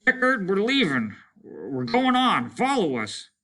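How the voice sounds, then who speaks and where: A man playing a desperate military lieutenant in a storybook reading. The voice is pushed high and bright, urgent and commanding. He pleads and orders with raw force. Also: wildly swooping pitch, unhurried, heavily acted. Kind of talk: dramatic storybook character reading.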